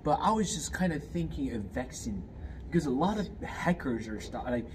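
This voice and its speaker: A man's voice: mid-range voice, sing-song, normal speed, a little mellow. Guy in his 20s from fiji, lots of ums and restarts, kinda far from the mic. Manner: hesitant, many fillers and restarts. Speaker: guest, student. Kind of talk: casual interview answers. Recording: distant mic, clear background noise.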